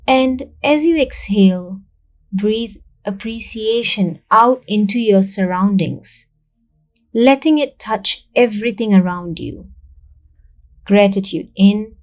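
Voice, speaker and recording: A woman narrating a guided meditation in a calm, soothing, measured way, leaving long pauses between instructions. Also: medium pitch.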